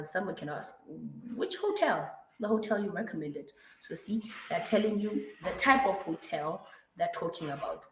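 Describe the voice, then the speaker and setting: Woman tutor, hoarse from flu, raspy, slow unhurried delivery, lowish voice, roomy echo. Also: slight background noise. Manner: patient explaining with frequent checks like "right?" and "mm-hmm". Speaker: English tutor. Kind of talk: one-to-one online grammar lesson.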